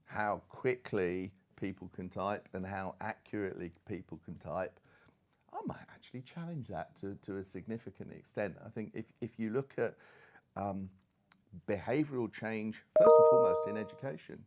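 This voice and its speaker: A man's voice: fairly low pitch, moderate pace, a little mellow. A middle-aged man speaking as an expert panellist in a conversational, discursive way, with frequent asides.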